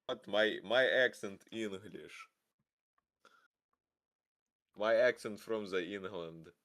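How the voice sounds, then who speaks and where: A man's voice: on the low side, takes it slowly, a bit bright. A young man with a voice that sounds like a mafia boss, casually explaining code in slangy talk. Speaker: game developer. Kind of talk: casual chat.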